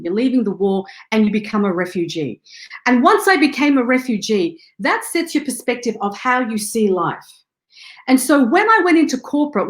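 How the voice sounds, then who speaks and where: Upbeat young woman speaking trainer, echoey room. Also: on the high side. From Afghanistan. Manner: upbeat, punchy motivational delivery with rallying catchphrases. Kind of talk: energetic online training presentation.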